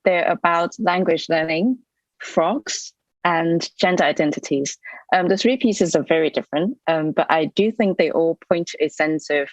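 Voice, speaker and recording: A young woman from Hong Kong with a Hong Kong English accent, reading her poems softly and carefully at an online event. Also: on the low side, no echo, lo-fi sound. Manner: soft-spoken, measured, with frequent ums. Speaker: poet reading her work. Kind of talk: poetry reading with spoken introductions. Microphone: computer mic on a video call.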